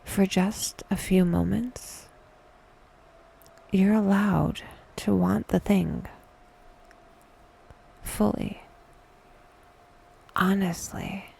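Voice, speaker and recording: Female meditation host, hushed and breathy, mellow tone, intimate soothing delivery with deliberate pauses. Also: lowish voice, low-quality recording. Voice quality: soft, breathy whisper. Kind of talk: whispered, soothing guided meditation.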